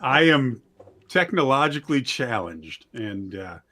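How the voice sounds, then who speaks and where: A man's voice: medium pitch, very animated, measured pace, pushed and bright. A man chatting casually as an interview guest, informal and self-deprecating. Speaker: podcast guest, author and audio-play writer.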